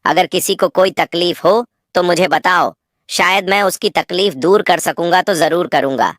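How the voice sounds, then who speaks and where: Woman as low-voiced dignified lion king. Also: normal speed, fairly bright tone, no echo, studio quality, lightly acted. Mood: concerned, kindly. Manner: stately, earnest. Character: dignified, caring male lion king of the jungle. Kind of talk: children's animal moral-story cartoon.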